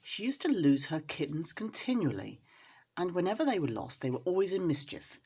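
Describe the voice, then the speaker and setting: Expressive woman storyteller with a husky voice. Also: on the low side, brisk. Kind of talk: expressive children's story reading.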